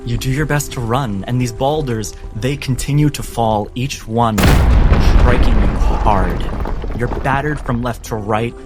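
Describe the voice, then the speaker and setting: Male game master, mid-pitched, dramatic and deliberate storytelling for a tabletop campaign, prominent music bed. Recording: music behind the voice.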